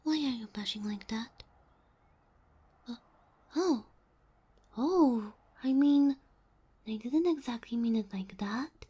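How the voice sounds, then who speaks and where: A sweet, bashful kitsune girl, played by a woman for an ASMR roleplay. Her voice is high and very breathy, often sinking into a whisper. She speaks slowly and gently, with shy, hesitant, submissive warmth. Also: animated, highs cut off, exaggerated voice. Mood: flustered, bashful. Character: sweet, shy, submissive young female kitsune fox spirit familiar.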